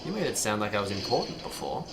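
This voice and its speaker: A man acting a cavalier, wry smuggler pilot in an audio drama, flippant and expressive in his delivery. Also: fairly low pitch, fast talker, background noise.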